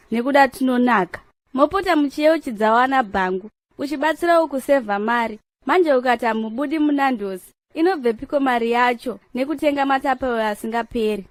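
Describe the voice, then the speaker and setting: A woman's voice: high-pitched, no echo, lightly acted. A woman playing a frank, opinionated debater in a comedy cartoon, mixing Shona and English. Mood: critical.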